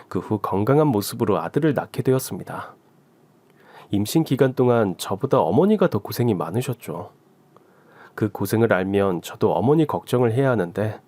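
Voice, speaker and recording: A man narrating a story in the first person with a gentle reading manner. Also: fairly low pitch, no room sound.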